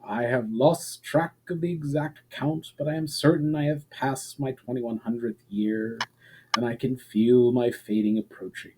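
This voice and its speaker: Guy doing an ancient male elf from a fantasy storybook, soft mellow voice, formal and courteous, kinda weary. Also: medium pitch, steady tone, slight background noise, natural voice, poor audio. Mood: wistful, weary. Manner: formal, measured, archaic phrasing. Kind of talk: dramatic storybook reading.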